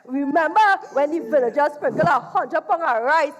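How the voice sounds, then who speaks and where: Woman playing bossy old trini she-devil on stage, really high voice, full of scorn, hall echo. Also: normal speed, natural voice. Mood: gossipy, mocking. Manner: scornful, exclamatory. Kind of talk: theatrical stage dialogue. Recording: echoey theatre hall.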